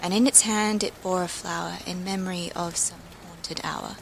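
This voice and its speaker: A woman reading poetry aloud in a measured, expressive manner. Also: lowish voice, gravelly, slight background noise, rough recording. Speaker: guest poetry reader. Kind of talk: poetry recitation.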